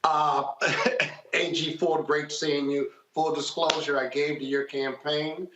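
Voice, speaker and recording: Higher-voiced man in an echoey room. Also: little pitch movement, slow, fairly bright tone, a little hiss or hum. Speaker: panelist questioning a guest. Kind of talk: friendly political interview chat. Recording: echoey room, dull lo-fi sound.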